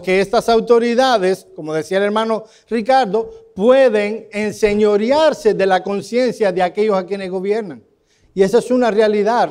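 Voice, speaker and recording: A man's voice: high voice, darkish tone. A man with a Cuban Spanish accent teaching a church Bible class, asking his students questions and calling on them by name.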